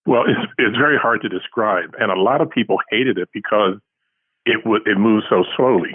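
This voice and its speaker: A man's voice: lowish voice, moderate pace, husky, dry recording. A man of about seventy giving casual, modest and reflective interview answers as a writer, heard over a tinny phone line. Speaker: guest, science fiction writer.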